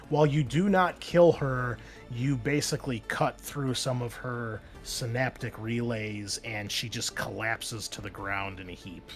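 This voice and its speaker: A man acting as game master, narrating the action and talking through rules casually in a tabletop roleplaying session. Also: mid-range voice.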